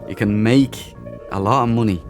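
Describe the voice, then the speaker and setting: A man's voice: on the low side, normal speed. A man hosting a casual let's play, sweary and exclamatory as he talks to himself. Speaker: gaming channel host. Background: game music.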